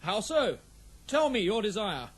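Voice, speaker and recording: A man's voice: high voice, very animated, a little slow, heavily acted. A man playing a proud, noble warrior prince and loving father in a fantasy audio drama, with stately, declamatory speech. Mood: insistent.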